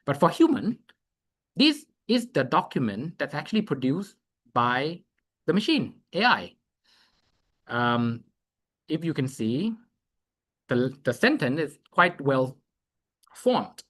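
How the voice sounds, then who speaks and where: A man's voice: on the high side, sing-song, a bit bright. Man walking through a demo. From Thailand. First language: Thai. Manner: halting, thinking aloud while walking through a screen. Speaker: presenter giving a software demo. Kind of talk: live webinar demo.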